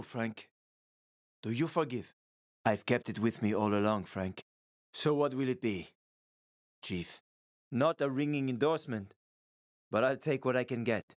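A man's voice: mid-range voice, natural voice. A wry, weary survivor from a video game, acted by a man, with a mid-range male voice. He talks casually and sardonically, like someone who has seen too much. The sound is very dry, free of room echo. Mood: bitter, resigned. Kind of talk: video game character dialogue.

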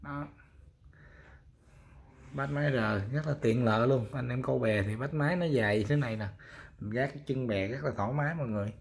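A man's voice: medium pitch, a little fast, creaky, long pauses. A man with a Southern Vietnamese accent casually showing goods for sale, talking informally to viewers as friends. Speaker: fishing rod seller presenting products. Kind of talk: casual product showcase.

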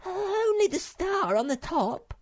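Small male creature for a children's story, whiny innocent kid, pitched far up and squeaky. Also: big pitch swings, slow, exaggerated voice. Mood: innocent, defensive.

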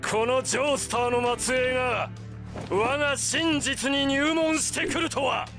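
A high-pitched, pompous vampire villain. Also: male voice, fairly even intonation, a little slow, over music. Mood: shocked, outraged. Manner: theatrical, pompous, menacing. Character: grandiose, ruthless male vampire villain with godlike powers. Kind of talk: Japanese video game voice acting.

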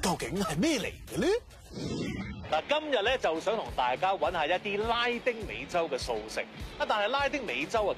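A man with a Hong Kong Cantonese accent, presenting and narrating a scripted documentary. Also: a little high. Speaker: host and narrator. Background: music.

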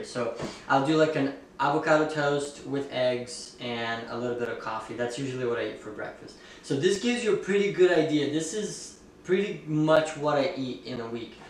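A relaxed young man in a casual vlog, talking with plenty of "like" and "um". His voice sits at a middle pitch and is picked up from across the table. The small room around him rings with strong echo. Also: slight background noise. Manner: relaxed, chatty, many fillers and "like". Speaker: fitness vlogger and ballet dancer. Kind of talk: casual vlog talk to camera. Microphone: camera mic at a distance. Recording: very echoey room, a bit far from the mic.

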